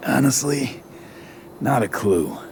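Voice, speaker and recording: An actor playing a weary, guarded young mercenary in a video game, speaking in terse, understated sentences. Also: male voice, medium pitch, sing-song, slow pace, darkish tone, a little hiss or hum. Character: weary, guarded young male mercenary, stubbornly downplaying trouble. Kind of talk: naturalistic video game acting.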